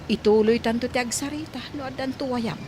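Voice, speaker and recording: An actress with a Filipino accent playing a gentle, concerned older mother giving coaxing advice in a radio drama. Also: a little high, a little fast, a little hiss or hum, natural voice.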